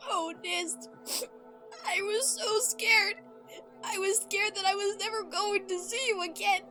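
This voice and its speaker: A woman voicing an emotional, brave young female pony hero in a fan audio drama. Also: a little slow. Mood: tearful. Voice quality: high-pitched, youthful. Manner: excitable, earnest, openly emotional. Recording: roomy reverb under loud music.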